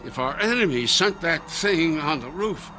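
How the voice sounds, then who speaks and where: Anxious protective man, highish lively voice. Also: soft background music. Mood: tense. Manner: halting, stammering, urgent. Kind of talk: radio drama acting.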